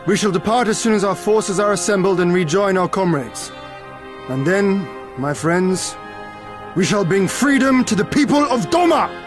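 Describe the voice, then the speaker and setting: An actor playing an earnest, commanding exiled lord in a fantasy game, speaking formally and statelily. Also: male voice, high voice, lively intonation, moderate pace. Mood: rallying, earnest. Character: noble exiled lord leading his homeland's liberation, earnest and commanding. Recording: echoey reverberant hall, music behind.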